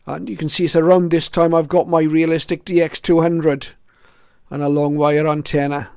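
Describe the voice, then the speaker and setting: A man's voice: on the high side, flat delivery, moderate pace, darkish tone, clean tone, dry recording, studio quality. A middle-aged or older man giving a casual show-and-tell narration as a hobbyist, hesitant and reflective as he goes through items one by one. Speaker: shortwave radio hobbyist presenting his collection.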